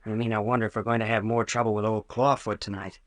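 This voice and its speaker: An actor playing a steady, protective, plain-spoken younger man in an old-time radio horror drama. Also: fairly low pitch, fast, lightly acted. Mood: wary.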